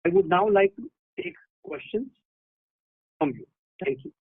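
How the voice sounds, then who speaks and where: A man with an Indian accent speaking formally and haltingly as a company executive presenting results on a conference call. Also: high-pitched, animated, slightly rough, no room sound. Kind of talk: prepared earnings call remarks. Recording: narrowband conference phone line.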